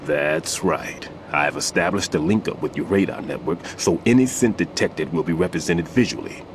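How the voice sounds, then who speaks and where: An actor playing an aging, retired bomb disposal expert in a video game, a weary mentor who explains things in a measured, authoritative way. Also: male voice, low voice, noisy background, close to an everyday voice. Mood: instructive. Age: older.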